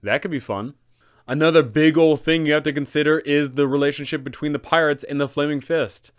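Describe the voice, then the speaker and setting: Smooth, emphatic man hosting a tabletop explainer. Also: on the high side, fairly quick, dry recording, clean studio sound. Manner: dramatic, emphatic storytelling delivery. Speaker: host, tabletop game advisor. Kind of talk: enthusiastic scripted explainer for game masters.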